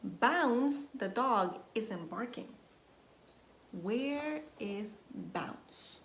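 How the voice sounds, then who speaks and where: A woman nursery teacher reading a story aloud to young children in an expressive, deliberate way, prompting them with questions. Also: a little high. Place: indoors at home. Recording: roomy echo, faint background noise, home recording.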